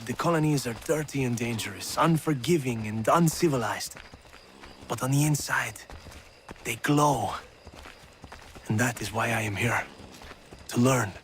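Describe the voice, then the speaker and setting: A man's voice: mid-range voice, slight background noise, lightly acted. A man with a French accent playing a gracious, idealistic young nobleman officer in a video game, courtly and poetic.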